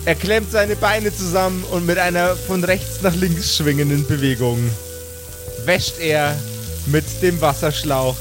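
Slow, deliberate male game master over music. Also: high-pitched, steady tone. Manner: deliberate, drawn-out storytelling. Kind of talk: dramatic improvised pen-and-paper narration.

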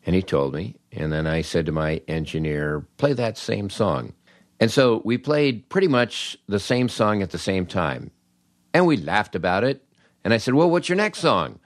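Low-pitched older man, chatty podcast host. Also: sing-song, moderate pace, no echo, clean studio sound. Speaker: podcast host and former radio disc jockey. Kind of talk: conversational storytelling and host-read ads.

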